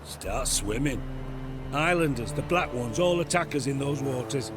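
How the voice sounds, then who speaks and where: An actor playing a gruff, cynical male boat captain. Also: mid-range voice, lively intonation, moderate pace, over music, lightly acted. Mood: curt. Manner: curt and dismissive.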